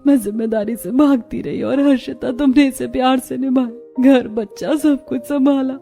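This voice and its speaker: A woman's voice: sits high, fairly even intonation, moderate pace, creaky, dark tone, faint music behind. A woman voicing a proud young daughter-in-law in a melodramatic cartoon family drama. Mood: tearful remorse.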